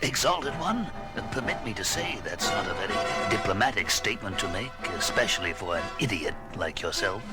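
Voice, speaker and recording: A man's voice: fairly low pitch, animated, normal speed, few pauses, over loud music, lightly acted. A man playing an insolent yet obsequiously formal alien junior officer in an audio drama, stammering as he addresses his superior. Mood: nervous insolence. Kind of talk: science fiction audio drama acting.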